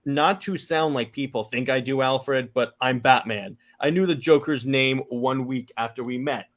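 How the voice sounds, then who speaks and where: A man reading the part of an earnest, guarded superhero in a serious, slightly halting read-aloud delivery. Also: medium pitch, natural voice. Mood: grave, resolute.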